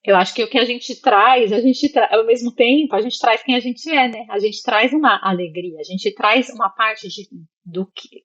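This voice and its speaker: A woman's voice: medium pitch, lo-fi sound. A Brazilian woman speaking casually and reflectively as an interview guest, expressively slipping into imagined remarks and checking that listeners follow. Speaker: interview guest, psychologist living in Italy.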